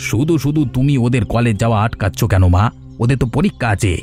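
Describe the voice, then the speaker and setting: A man's voice: medium pitch, animated, quick pace, a little mellow, faint music behind, close to an everyday voice. A man playing a dutiful grown son in a cartoon, earnest and reasoning. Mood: protesting. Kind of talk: Bengali cartoon voice acting.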